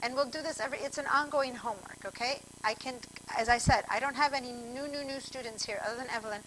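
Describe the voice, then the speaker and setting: A woman's voice: fairly high pitch, fast, sharp tone, a little hiss or hum, low-quality recording. A woman from Argentina teaching a computer class over a video call, chatty and digressive, speaking straight to her students. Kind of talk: online class instruction.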